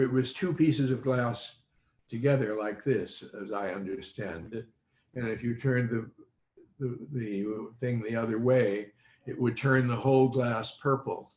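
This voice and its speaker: Slow-talking older man, dull echoey call. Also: fairly low pitch. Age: over 70. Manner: halting, circling back to rephrase. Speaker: interview guest, former child radio quiz contestant. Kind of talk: unscripted reminiscing in an interview. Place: online video meeting.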